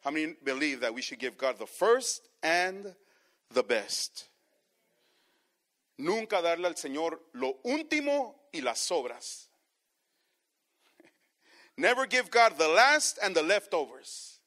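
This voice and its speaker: Man, pastor, high voice, bilingual sermon, repeats each phrase in a second language, calls listeners brothers. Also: sing-song, slow, long pauses, sharp tone. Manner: preaches each phrase in Spanish then repeats it in English; keeps addressing the congregation as brothers.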